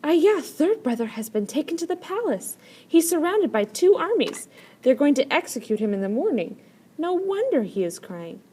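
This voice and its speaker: Woman voicing an emphatic, anxious folktale brother, raised pitch. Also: very animated, moderate pace, warm tone. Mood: worried. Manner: exclaiming, emphatic. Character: sharp-sensed elder brother, anxious, dramatic Chinese folktale hero. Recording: clear background noise.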